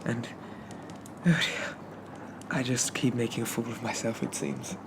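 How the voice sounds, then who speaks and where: A man playing a shy, polite, lovestruck Victorian zombie gentleman, speaking softly and haltingly with flowery apologies in an ASMR roleplay. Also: medium pitch, big pitch swings, background noise. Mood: embarrassed. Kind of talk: ASMR roleplay, soft-spoken character monologue.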